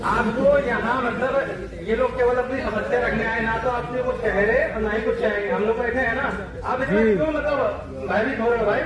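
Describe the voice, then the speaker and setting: A man's voice: very high voice, steady tone, a little fast, distant mic. A man leading a farmers' protest, speaking defiantly and with emphasis. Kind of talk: protest speech. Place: large indoor hall with crowd. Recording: echoey hall, crowd noise.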